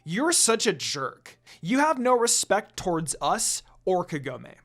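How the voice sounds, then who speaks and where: A man's voice: high-pitched, sing-song, a little slow, fairly bright tone, voice pushed up, exaggerated voice. A man voicing an upset, loyal woman who argues on behalf of her friend in a story reading. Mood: angry.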